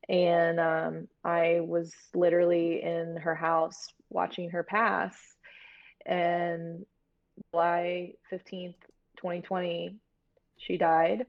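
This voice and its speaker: An American woman from Florida talking casually and candidly as an interview guest, in a halting storytelling way. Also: fairly low pitch, flat delivery, creaky. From Florida, United States. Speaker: guest, horror series producer. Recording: dull, lo-fi audio.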